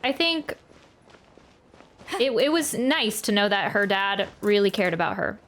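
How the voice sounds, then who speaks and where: A woman's voice: mid-range voice, animated, unhurried, a bit bright. A woman streamer giving casual, thoughtful live commentary on a game, often searching for her words. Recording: game music behind the voice.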